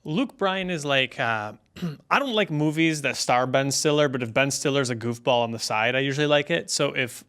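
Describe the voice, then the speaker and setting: A man's voice: mid-range voice, moderate pace, fairly bright tone, clean tone. Dry, wry man in casual banter. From American Midwest. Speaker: podcast co-host.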